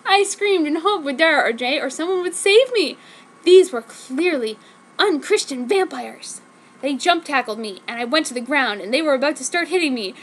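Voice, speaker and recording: A woman's voice: high voice, animated, moderate pace. A woman reading fanfiction aloud as a theatrical, mock-dramatic narration. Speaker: fanfiction reader.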